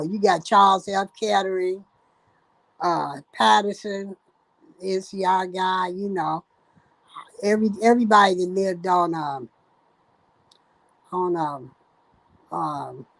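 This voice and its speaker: An older woman from Ohio, the host's mother, chatting as a guest in halting speech full of fillers and restarts. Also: on the low side, steady tone, gravelly, no echo, poor audio. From Dayton, Ohio, USA. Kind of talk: casual chat.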